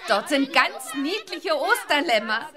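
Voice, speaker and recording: A woman playing a spirited, kind young witch girl in a children's audio play, perky and expressive. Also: sits high, unhurried, crowd noise. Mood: cheerful, kind.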